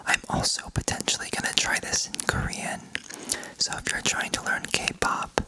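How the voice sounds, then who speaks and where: A young man softly whispering a slow ASMR vocabulary lesson, repeating each word calmly. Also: poor audio. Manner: soft unvoiced whisper, slow word-by-word repetition. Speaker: ASMR creator teaching vocabulary.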